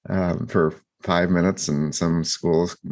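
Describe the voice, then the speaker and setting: A man co-hosting a podcast, talking through his thoughts in a reflective, halting way with many restarts and fillers. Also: low-pitched, steady tone, a little mellow. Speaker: podcast co-host. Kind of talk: reflective casual discussion.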